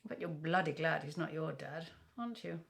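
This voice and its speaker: An actress playing a haughty, gossipy middle-aged British aunt with a theatrical, scornful manner in an interactive film. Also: female voice, low voice, normal speed, gravelly. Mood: bitter, catty. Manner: theatrical, catty, gleefully scornful.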